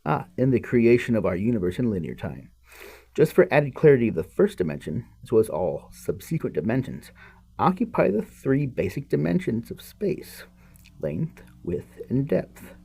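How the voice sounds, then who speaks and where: A man with a fairly low, mellow voice reads aloud as a podcast host. His pitch moves around a great deal, and he slips from the text into informal, musing commentary aimed at the listener. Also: creaky, breathy edge. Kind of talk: reading aloud with casual commentary.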